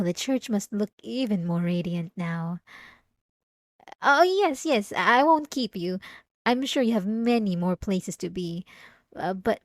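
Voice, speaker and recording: A woman plays a demure young church girl in an intimate ASMR roleplay, speaking slowly in a close, dry recording. She is pious and over-polite, hesitating as she addresses the listener, with a clingy devotion under the sweetness. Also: fairly high pitch, darkish tone, no room sound. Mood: flustered, eager.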